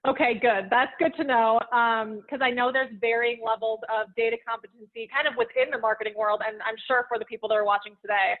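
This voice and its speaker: A woman's voice: fairly high pitch, fairly even intonation, fairly quick, few pauses. A woman hosting an interview, asking questions and summarizing the guest's points in a friendly way. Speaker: interview host. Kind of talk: conversational interview. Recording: tinny phone-like line.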